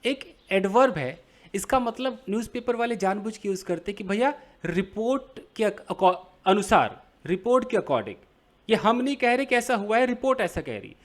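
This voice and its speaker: A young man with an Indian accent teaching English to beginners, reading English phrases slowly and explaining them with many questions to his viewers. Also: sits high, lively intonation. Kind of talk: explaining a newspaper article to beginners.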